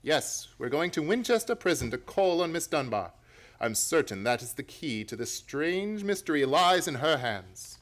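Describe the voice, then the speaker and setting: A man performs a self-assured gentleman detective in a radio play. The voice is fairly high and very animated. He reasons aloud with courteous but emphatic, theatrical delivery. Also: normal speed, faint music behind. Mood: decisive. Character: confident, analytical gentleman detective, incisive and courteous.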